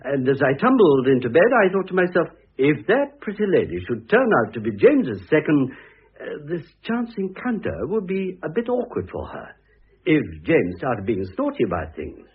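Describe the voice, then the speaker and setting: A middle-aged man with a British accent acting in a classic radio drama as a peevish, gossipy gentleman admirer, chatty and blustering in a theatrical way. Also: a little high, huge pitch swings, moderate pace, slightly rough, heavily acted. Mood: amused, conspiratorial. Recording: muffled, tinny old radio recording.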